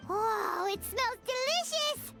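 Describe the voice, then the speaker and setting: A woman's voice: extremely high pitch, lively intonation, vocal fry, bright, forceful tone, full character voice. A woman voicing a small floating female companion who is cheeky, chatty and sassy, over game music. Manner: talks of herself in third person, quick and sassy.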